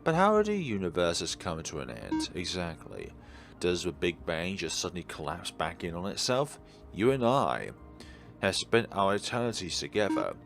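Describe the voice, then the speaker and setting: A man's voice: sits low, big pitch swings, normal speed, creaky, a bit bright, faint music behind. A man hosting a let's play, reading aloud and musing in a rambling, digressive way. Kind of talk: reading a visual novel aloud with rambling off-script commentary.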